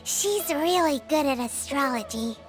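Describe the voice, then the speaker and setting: A woman voicing a tiny, childlike female fairy companion in a video game, squeaky, cutesy, cheeky and blunt. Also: animated, measured pace, soft background music. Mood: cheeky, questioning. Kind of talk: scripted video game voice acting.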